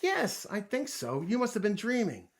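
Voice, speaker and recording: A man's voice: sits high, wildly swooping pitch, moderate pace, pitched above the performer's own voice, full character voice. A man voicing a friendly, reassuring male frog from a children's storybook, with encouraging, emphatic delivery.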